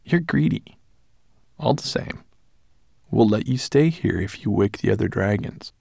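A man voicing a kind, shrewd female dragon who speaks gently and breathily. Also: lowish voice, expressive, a little slow, vocal fry, dry recording, clean studio sound, full character voice. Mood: gentle.

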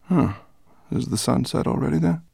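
Guy doing a sleepy chill male dragon for a storybook, bit hoarse and creaky. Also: medium pitch, lively intonation, normal speed, darkish tone, no room sound, clean studio sound, pitched above the performer's own voice, heavily acted. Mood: drowsy. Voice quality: rather hoarse.

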